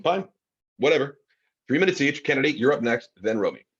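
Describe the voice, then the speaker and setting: A man's voice: medium pitch, animated, a little fast, fairly bright tone, husky. A man playing a corny open mic host in his fifties, in an amateur table read heard on dull call audio. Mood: offhand.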